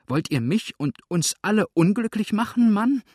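A frightened, prim widow from an audiobook, acted out by a man, pitched well up. The delivery is breathless and flustered, full of emphatic, pleading protest. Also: sing-song, moderate pace, slightly rough, dry recording, studio quality. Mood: horrified. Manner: breathless, stammering, exclaiming protests. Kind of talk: audiobook character acting.

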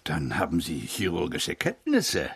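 An actor playing a sardonic police detective who asks pointed, insinuating questions in a historical radio drama. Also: male voice, low-pitched, wildly swooping pitch, measured pace, creaky, a bit bright, husky, full character voice. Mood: insinuating, pressing. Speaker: police investigator.

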